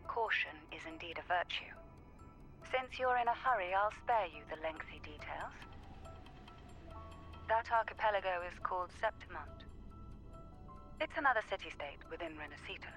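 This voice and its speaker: A composed, knowing noblewoman from a video game, with a clear bright edge to her voice. She speaks formally and elegantly, unhurried and courteous, with music softly behind her. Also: fairly low pitch. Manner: measured, formal, elegant phrasing. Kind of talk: scripted video game story dialogue.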